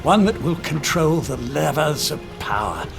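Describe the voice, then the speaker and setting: A grandiose, wildly swooping villain. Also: male voice, a little high, big pitch swings, unhurried, vocal fry, music in the background, heavily acted. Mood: grandiose defiance. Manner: grandiose, declamatory. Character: defiant, grandiose male villain vowing to build a nation. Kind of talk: audio drama acting.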